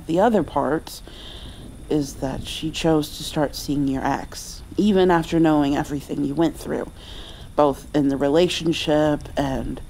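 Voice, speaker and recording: A gentle, loving mother acted by a woman soothes her heartbroken child in an ASMR roleplay. Her delivery is very unhurried and soft, with a mellow, dark tone, over audible background ambience. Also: low-pitched, slight background noise, poor audio. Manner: tender, soothing, sometimes dropping toward a whisper. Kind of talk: ASMR comfort roleplay, slow and hushed with pauses.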